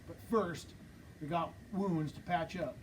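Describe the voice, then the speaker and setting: A man's voice: a little high, measured pace, recorded from a distance, a little hiss or hum, natural voice. A man reading a ruthless outlaw gang leader at a script table read, brash and bossy. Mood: scheming.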